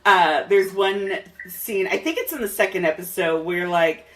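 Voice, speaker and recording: A woman's voice: lowish voice, normal speed, distant mic, crowd noise, low-quality recording. A woman hosting a podcast, chatting casually and enthusiastically with a guest. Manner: enthusiastic, laughs often. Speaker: podcast host. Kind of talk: casual interview chat.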